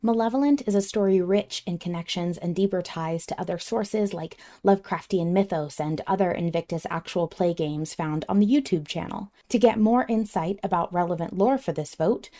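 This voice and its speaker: A woman's voice: on the low side, moderate pace, dark tone, no echo, studio quality. A woman hosting a podcast in a casual, conversational discussion. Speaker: podcast host.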